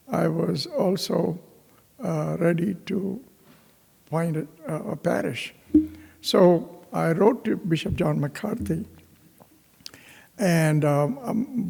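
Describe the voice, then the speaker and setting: A man from Pakistan, a priest, telling his own story in a homily, slow and halting with many fillers and restarts. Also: a little high, creaky, darkish tone, breathy edge, big-room sound. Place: parish church.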